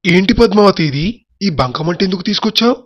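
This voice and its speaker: A man's voice: high voice, animated, quick pace, dry recording. A man playing a humble, grateful poor village husband and father in a moral story cartoon. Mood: curious, admiring. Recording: dull, muffled track with music. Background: background music.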